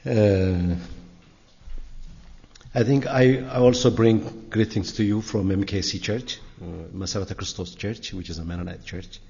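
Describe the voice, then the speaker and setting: An older man from Ethiopia with an Ethiopian accent, giving an informal, halting, conversational talk as a guest church speaker. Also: lowish voice, warm tone. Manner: halting, conversational, frequent "you know". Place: large indoor church sanctuary. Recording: reverberant church hall, dull sound.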